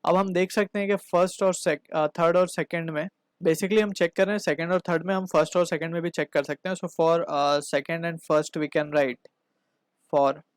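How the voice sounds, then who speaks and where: Higher-pitched Indian male teacher, close dry sound. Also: steady tone, moderate pace, smooth, no echo. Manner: explanatory, often checking with short tags. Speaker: physics teacher. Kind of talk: step-by-step problem-solving lesson.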